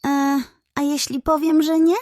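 A woman's voice: sits high, flat delivery, measured pace, dark tone, dry recording, pitched above the performer's own voice. Woman voicing a cocky little scheming pug. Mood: nervous. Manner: squeals and mumbles when cornered.

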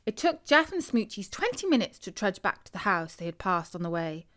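A woman narrates a scripted story for children. Her voice sits at a medium pitch. She reads expressively, as a bedtime storyteller who acts out what the characters say. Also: very animated, brisk, fairly bright tone.

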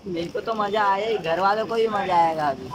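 A man's voice: sits high, brisk, background noise. A teenage boy bantering and joking with a friend who films him on a phone. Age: teens. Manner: joking, teasing. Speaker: friend doing the challenge. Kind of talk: casual banter.

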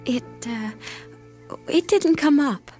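An actress playing a shy, humble young peasant widow in an animated Bible story, speaking hesitantly. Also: female voice, high voice. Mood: nervous, reluctant. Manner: hesitant, halting. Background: music.

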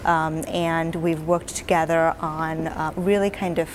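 A woman in her forties, an advocacy director answering interview questions in an earnest, measured way with frequent fillers, seated in a hotel lounge. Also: low-pitched, fairly even intonation, slight background noise.

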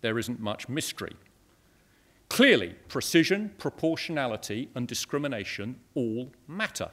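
A man's voice: mid-range voice, animated, normal speed, a bit bright. A man, giving a matter-of-fact conference talk from a lectern in a lecture hall, with dry asides to the audience. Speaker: conference speaker. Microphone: lectern microphone.

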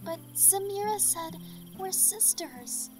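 High-voiced, eager young girl. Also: animated, slow, music in the background, heavily acted. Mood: stubborn, hopeful. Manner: innocent, earnest. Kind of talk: audio drama acting.

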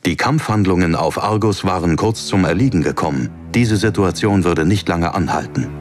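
Man, very deep voice, dramatic fantasy storytelling, music behind. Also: animated, normal speed. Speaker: audiobook narrator. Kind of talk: scripted dramatic fantasy narration.

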